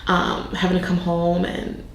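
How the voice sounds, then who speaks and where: A woman's voice: lowish voice, completely flat delivery, unhurried, roomy sound. A young woman in her twenties from South Carolina, answering interview questions in a chatty way with many fillers. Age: 20s.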